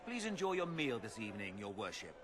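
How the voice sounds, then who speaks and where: A man's voice: lowish voice, sing-song, brisk, crowd noise. An actor playing a refined, obsequious male waiter in a video game, with florid, polite phrasing. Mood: eager, deferential. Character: fawning, refined male waiter at a fine restaurant. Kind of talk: video game cutscene acting.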